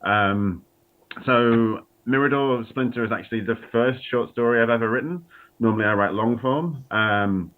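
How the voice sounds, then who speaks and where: A man's voice: mid-range voice, normal speed. A man with a cold and a slightly froggy voice, chatting casually and self-deprecatingly before reading his story. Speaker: author reading own work. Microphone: video call. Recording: tinny phone-like line.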